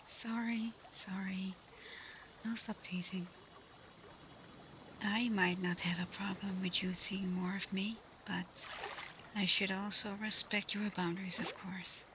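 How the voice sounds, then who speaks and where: A woman playing a caring, teasing female alpha werewolf in an intimate, softly spoken ASMR roleplay. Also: medium pitch, slight background noise. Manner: playful, unhurried, soft, at times whispering. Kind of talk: ASMR roleplay, intimate acting addressed to listener.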